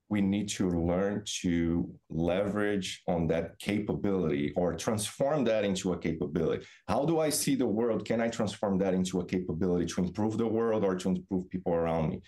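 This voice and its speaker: A middle-aged man from Brazil with a Brazilian accent, a native Portuguese speaker, giving thoughtful, halting explanations as an interview guest. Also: deep voice, warm tone. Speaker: podcast guest, business professor. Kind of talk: reflective interview answers.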